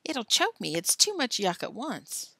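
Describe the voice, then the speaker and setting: A woman voicing an excitable, suspicious schoolboy with indignant outbursts, in a children's storybook reading. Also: fairly high pitch, a little slow, dry recording, voice pushed up, heavily acted, poor audio. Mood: wary. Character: excitable, suspicious schoolboy accusing friends of cheating.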